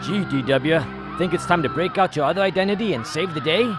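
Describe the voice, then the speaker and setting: A man's voice: fairly high pitch, brisk, over music, natural voice. A man playing a friendly, dim cartoon duck sidekick with a folksy, naive manner. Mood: helpful.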